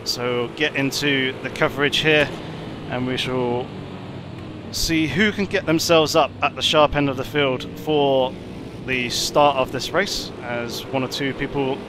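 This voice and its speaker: A man commentating a sim racing broadcast, speaking in a measured, polite and wordy way while nursing a cold that leaves him a little congested. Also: mid-range voice, fairly even intonation, noisy background. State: has a cold, slightly congested. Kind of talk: live esports race commentary.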